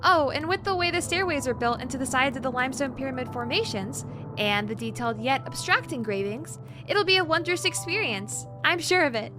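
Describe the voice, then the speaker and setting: A woman's voice: high voice, animated, brisk, over music. A woman playing a nervous, bookish, overworked young researcher in an audio drama, stammering and using wordy, formal vocabulary. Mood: earnest, explanatory.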